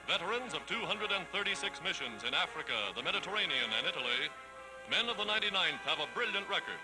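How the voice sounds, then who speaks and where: A man's voice: a little high, rough recording. An American man reading scripted 1940s newsreel narration in a formal, dramatic, declamatory manner. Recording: vintage 1940s newsreel soundtrack, loud music. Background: loud newsreel music.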